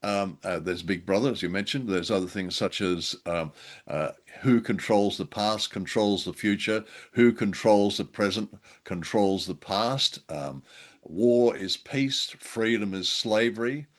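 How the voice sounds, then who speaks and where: A man's voice: on the low side, unhurried. A man co-hosting a casual discussion show, speaking in a hesitant, wry, self-deprecating way. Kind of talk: casual discussion of an old radio drama.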